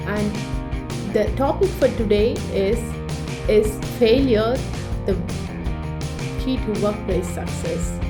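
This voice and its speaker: A woman hosts a panel from a prepared introduction. Her voice sits somewhat high, and guitar music plays clearly behind her. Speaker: panel host.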